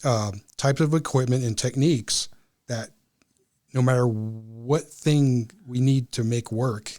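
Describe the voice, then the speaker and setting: A man speaking as an interview guest, explaining things in a halting way with pauses between phrases. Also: mid-range voice, darkish tone. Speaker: amateur radio club member, guest.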